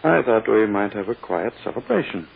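A self-satisfied prosecutor out courting, unctuous and pompous. His low voice swoops widely as he draws out his words with little hums. It is 1940s radio drama on a muffled, hissy recording. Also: animated, normal speed, vocal fry, breathy edge, exaggerated voice. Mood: smug, insinuating.

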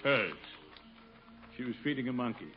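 An American actor playing a blustering, lovesick, middle-aged uncle in a 1950s radio sitcom, pompous and easily flustered. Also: male voice, medium pitch, moderate pace, loud music behind the voice, natural voice. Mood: sulky muttering. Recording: noisy, muffled old radio recording.

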